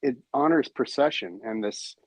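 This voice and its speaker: A man in a casual podcast discussion, explaining thoughtfully with pauses. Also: medium pitch, animated, a little mellow, dry recording, poor audio.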